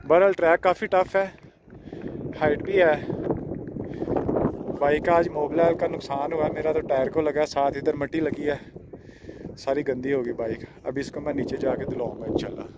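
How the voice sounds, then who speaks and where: A man's voice: on the high side, fairly even intonation, long pauses. A man in his thirties narrating a casual travel vlog outdoors on a handheld phone. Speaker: travel vlogger. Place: outdoors in an open mountain valley. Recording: windy outdoor phone audio, loud noise.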